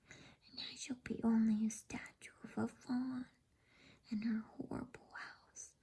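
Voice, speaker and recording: A woman voicing a timid, guilt-ridden male faun in an anxious, halting whisper for an ASMR bedtime story. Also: medium pitch, mellow, heavily acted. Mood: tearful dread. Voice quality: whispered, breathy.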